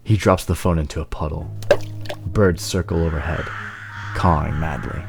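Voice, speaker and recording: Deep-voiced man narrating a screenplay over music. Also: fairly even intonation, normal speed, vocal fry. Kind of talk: dramatic scripted narration.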